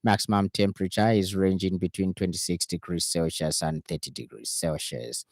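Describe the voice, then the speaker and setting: A man with a Zambian accent reading a scripted radio news bulletin in a formal, measured announcer's delivery. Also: low-pitched, steady tone, a little mellow, no room sound, studio quality. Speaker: radio newsreader. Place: radio studio.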